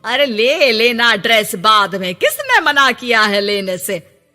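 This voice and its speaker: A vain, self-absorbed cartoon mother-in-law, acted by a woman, bossy and boastful. Her delivery is bright and swings dramatically up and down, with music behind and a long reverb around it. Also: a little high, very animated, takes it slowly, full character voice. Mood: pushy, bossy.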